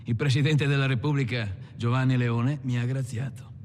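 An actor playing a weary, wry freed gangster turned painter, speaking in a halting, measured film monologue. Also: male voice, medium pitch, steady tone, darkish tone, a little hiss or hum, natural voice. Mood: reflective. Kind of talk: dramatised first-person film monologue. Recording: music behind the voice.

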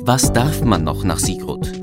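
An actor playing a consumer magazine presenter in a radio play, with scripted explanatory delivery. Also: male voice, on the low side, lively intonation, a little slow, loud music behind the voice. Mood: brisk, explanatory. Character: male consumer magazine presenter, lively broadcast tone.

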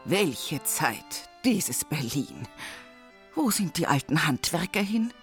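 An actress playing a fussy, self-pitying middle-class housewife who complains indignantly in a German radio play. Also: female voice, low voice, big pitch swings, slow, faint music behind, exaggerated voice. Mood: scandalised lament.

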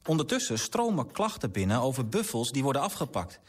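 An actor in a radio drama playing an earnest, idealistic clerk, formal and articulate, politely persistent. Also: male voice, mid-range voice, big pitch swings, a little fast, slightly rough. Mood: grave, narrating.

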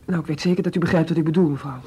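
A man's voice: a little high, fairly even intonation, racing, dark tone, breathy edge. A young man playing a nervous, evasive seventeen-year-old schoolboy in a radio drama, hesitant, stammering and defensive. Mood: nervous, explaining.

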